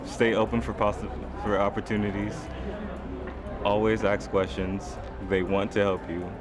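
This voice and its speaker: Deep-voiced eighteen-year-old guy. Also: flat delivery, moderate pace, a crowd in the background. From Ohio. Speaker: student in a study abroad program. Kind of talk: testimonial. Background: music.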